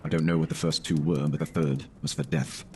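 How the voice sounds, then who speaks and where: A man playing a grave, middle-aged British sergeant major, slow and reticent, in a dramatic horror story reading. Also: very deep voice, level tone, darkish tone, slight background noise. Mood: grave, reluctant.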